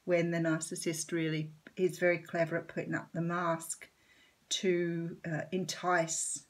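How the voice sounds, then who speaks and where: Chatty Australian woman, husky morning voice. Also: lowish voice, flat delivery, unhurried. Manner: chatty, warm-hearted, rambling with many ums. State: slightly croaky morning voice. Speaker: tarot reader. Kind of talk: casual tarot reading vlog.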